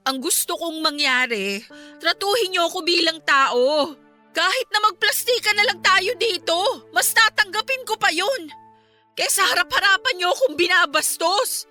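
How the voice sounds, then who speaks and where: Give the part of a wronged young wife with a very high, bright voice. She is emotional and indignant, pleading for respect yet standing her ground, as in a Filipino radio drama with faint music underneath. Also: animated, normal speed, full character voice. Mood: pained, pleading. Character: hurt, defiant young wife and mother, unwanted by in-laws.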